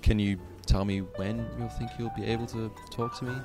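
An actor playing a gentle, apologetic boyfriend in an audio drama, speaking in a hesitant, subdued, pleading way. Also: male voice, lowish voice, level tone, brisk, lightly acted. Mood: resigned, hurt. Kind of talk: audio drama acting, naturalistic. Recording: music playing behind the voice.

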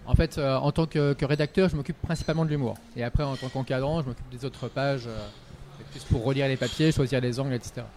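A man's voice: medium pitch, steady tone, quick pace, a little mellow, a little hiss or hum. A man who works as a culture editor, chatting casually with wry jokes and many fillers, in a bar. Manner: wry, joking, filler-heavy. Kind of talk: casual chat.

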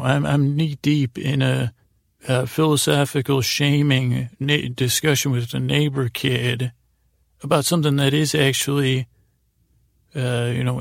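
A man with a gravelly, creaky voice hosting a podcast in a rambling bedtime monologue, halting and repeating himself as he meanders along. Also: mid-range voice, no echo, clean studio sound. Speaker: podcast host.

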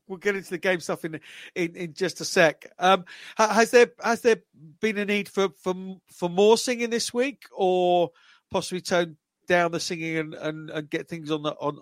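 A man hosting a sports podcast, interviewing guests in a casual, halting way that often repeats words. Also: sits high, dry recording, clean studio sound. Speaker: podcast host.

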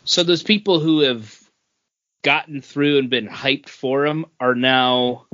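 A man's voice: medium pitch, takes it slowly, a bit bright, no room sound, muffled recording. A man co-hosting a podcast with casual, joking chat. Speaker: podcast co-host.

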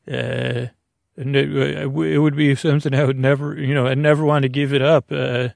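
A man hosting a sleep podcast with a gravelly voice, talking in a hesitant, halting, meandering bedtime monologue that drifts into tangents. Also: mid-range voice, level tone, a little mellow, no room sound, studio quality. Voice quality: gravelly, creaky.

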